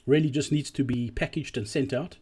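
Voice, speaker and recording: A man giving an explanatory business presentation as a voice-over, speaking deliberately and emphatically and repeating key phrases, with a slightly husky voice. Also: medium pitch, fairly even intonation, darkish tone. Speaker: presenter analysing drive-through performance.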